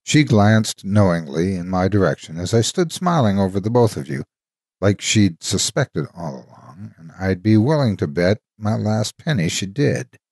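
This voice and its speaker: A man narrating a dramatic story as a devoted, wistful ghostly guardian, an old soldier reminiscing tenderly in a husky, folksy way. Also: low voice, expressive, moderate pace, darkish tone, no room sound, studio quality. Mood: solemn, fond. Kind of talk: dramatic first-person horror storytime narration.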